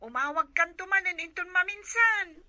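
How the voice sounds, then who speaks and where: A woman's voice: extremely high pitch, expressive, normal speed, bright, forceful tone, exaggerated voice. A woman with an Ilocano accent voicing a whiny schoolchild daughter in a comedy skit. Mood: offhand.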